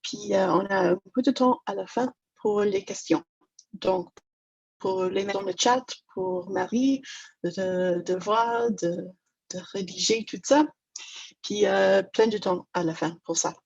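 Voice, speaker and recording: A slow, careful woman from Toronto presents a negotiation webinar in her second language. Her English Canadian accent is clear, and her voice carries some gravelly fry and a husky edge. The sound comes over a dull, lo-fi video call line. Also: medium pitch, animated, a little mellow. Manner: hesitant, many fillers, self-deprecating. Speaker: negotiation trainer.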